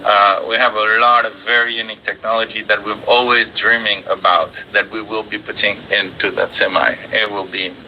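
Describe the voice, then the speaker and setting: Lowish male voice, muffled noisy call. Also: little pitch movement, a little fast, few pauses. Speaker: company executive. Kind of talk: unscripted earnings call remarks. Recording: dull, noisy conference call audio.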